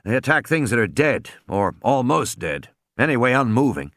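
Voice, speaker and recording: A man voicing a calm male scientist who explains things in a matter-of-fact way. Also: medium pitch, moderate pace, dry recording, studio quality.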